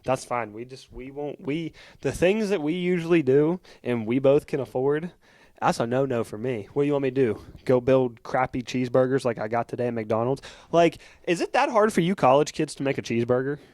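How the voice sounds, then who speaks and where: A young man in his twenties talks close to a dry podcast mic, joking around with a friend. His voice sits at an ordinary middle pitch. He tells stories with plenty of energy and laughs often. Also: fairly quick, no room sound. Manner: animated storytelling, laughs often. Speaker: podcast co-host. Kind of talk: casual comedic banter.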